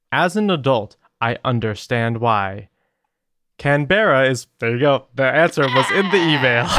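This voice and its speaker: A man's voice: medium pitch, a bit bright, no echo, clean studio sound. A man who joins a podcast once a month, reading listener mail aloud with wry, joking asides. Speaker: monthly guest co-host.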